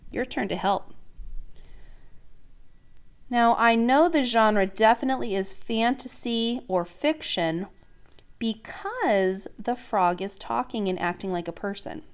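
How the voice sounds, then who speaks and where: A woman teacher reading a storybook aloud in an expressive, instructional way, prompting listeners with questions. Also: fairly high pitch, slow pace, smooth. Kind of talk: interactive storybook read-aloud lesson.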